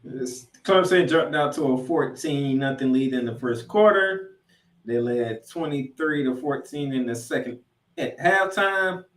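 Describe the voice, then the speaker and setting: A man hosting a sports podcast, recapping games in an animated, emphatic showman's delivery with dramatic announcements. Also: medium pitch, measured pace. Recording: echoey room, distant from mic.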